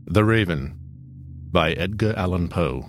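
A man's voice: deep voice, expressive, unhurried, slight background noise. A man delivering scripted, dramatic narration as the announcer of a horror anthology show. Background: music.

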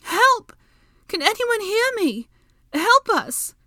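A woman voicing a sharp-tongued, exasperated young witch in an audiobook, with cutting, sarcastic delivery. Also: a little high, very animated, fairly quick, no echo, clean studio sound, voice pushed up. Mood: desperate shouting. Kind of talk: dramatic audiobook character dialogue.

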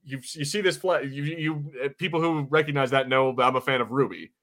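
Blunt man with a bright, forceful voice. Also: medium pitch, brisk. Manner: blunt, informal, swears. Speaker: podcast co-host. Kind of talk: casual opinionated banter.

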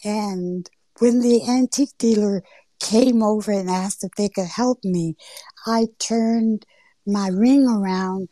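A middle-aged woman with a mild accent between Southern and Northern American, speaking in an unhurried storytelling way in a roundtable discussion. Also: medium pitch, no room sound. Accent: between Southern and Northern US, neither strong. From Georgia and New York, US. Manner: unhurried storytelling with drawn-out phrases and soft asides. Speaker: panel speaker. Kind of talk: roundtable discussion on a live audio forum.

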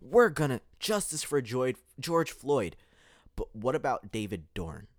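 A man's voice: medium pitch, big pitch swings, unhurried, no room sound, studio quality. A man hosting a podcast, delivering a heated opinion monologue with emphatic restarts. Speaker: podcast host.

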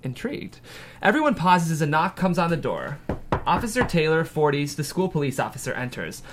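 A man's voice: on the high side, big pitch swings, brisk, noisy background. A man narrating a screenplay, reading stage directions aloud at a table read.

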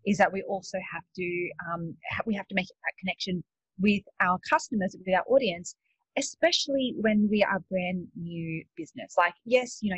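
Woman, Australian accent, husky, chatty, frequent laughs, dull video-call audio. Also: mid-range voice, no room sound. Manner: chatty, laughs often, many fillers. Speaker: guest business coach. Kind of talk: casual webinar talk. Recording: dull lo-fi dry sound.

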